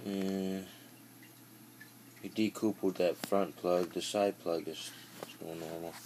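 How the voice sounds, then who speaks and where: A man giving a casual hands-on equipment demonstration, instructing in a halting way over faint background noise. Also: low voice, fairly even intonation. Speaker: demonstrator of a CB radio.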